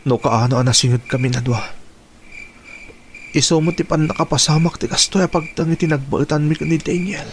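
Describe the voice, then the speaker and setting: A man with an Ilocano accent playing a guilty, hesitant young lover in a radio drama, halting and earnest. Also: a little high, slight background noise, close to an everyday voice. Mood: troubled, confiding.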